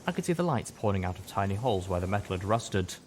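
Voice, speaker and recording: A man's voice: very animated, fast. A man reading a dramatic piece of fiction as an audiobook narrator, with noise behind his voice. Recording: noticeable background noise with low rumble.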